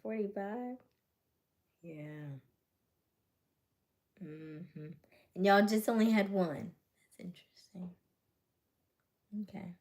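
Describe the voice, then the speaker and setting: A woman in her late thirties hosting a casual livestream chat, teasing and playful, slipping into quiet asides. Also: lowish voice, slow pace, long pauses. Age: late 30s. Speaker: livestream host. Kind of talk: casual live chat with viewers.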